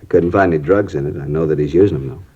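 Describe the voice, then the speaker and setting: An actor playing a distraught middle-aged father who talks in a halting, desperate, strained way, in a 1950s radio drama. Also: rumbling low, warm tone, a little hiss or hum. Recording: dull lo-fi 1950s radio broadcast.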